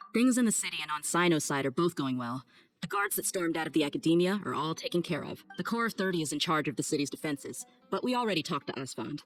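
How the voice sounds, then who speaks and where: Confident merc lady, kinda low voice, breezy and streetwise, game cutscene w music. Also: fairly bright tone. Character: confident, easygoing tough female mercenary. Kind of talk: casual video game dialogue acting.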